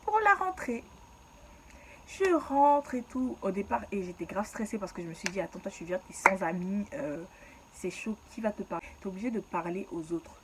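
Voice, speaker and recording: Young woman from ivory coast, chatty slangy storytime, mid pitch, bit of faint hiss behind. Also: lively intonation, moderate pace. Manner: chatty, slangy, laughs at her own story. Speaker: YouTuber telling her life story. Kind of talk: casual storytime vlog.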